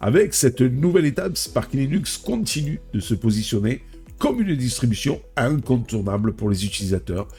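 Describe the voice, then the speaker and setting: A man's voice: medium pitch, big pitch swings, moderate pace, darkish tone, faint music behind. A man with a head cold hosting a tech video, reading a news script and adding chatty personal asides. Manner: reads then adds chatty personal remarks. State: stuffy with a cold.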